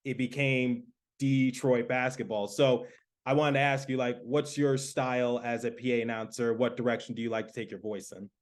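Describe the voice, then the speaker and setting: A man from Michigan hosting a sports podcast, asking questions in a halting way with many fillers. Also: medium pitch, little pitch movement. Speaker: podcast host, hockey play-by-play announcer. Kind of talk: casual interview chat.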